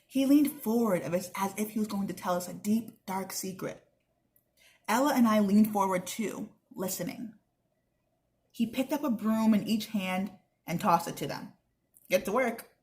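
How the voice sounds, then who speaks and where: A woman's voice: mid-range voice, moderate pace, darkish tone. A young woman reading a children's book aloud, expressively, with chatty asides to her listeners. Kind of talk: read-aloud narration with casual asides.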